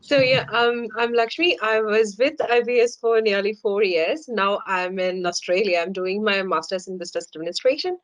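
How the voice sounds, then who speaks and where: A woman, a business student, introduces herself in a casual, quick way on an online panel. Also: medium pitch, low-quality recording. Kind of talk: self-introduction on a video call.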